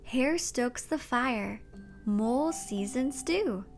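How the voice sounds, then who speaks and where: A woman hosting a children's read-aloud, telling a picture book with deliberate, expressive delivery. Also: on the high side, faint music behind. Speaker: children's storyteller and host.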